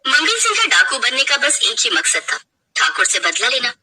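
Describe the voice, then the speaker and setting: A woman's voice: a little high, huge pitch swings, normal speed, pushed and bright, husky. A woman narrator reading a scripted dramatic story.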